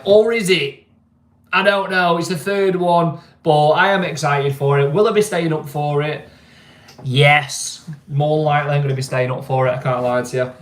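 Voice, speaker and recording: Mancunian male streamer, easygoing and chatty, voice a touch high, roomy echo. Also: a little hiss or hum. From England. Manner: chatty, laid-back, keeps asking "you know what I mean". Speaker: vlogger. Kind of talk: casual live-stream chat.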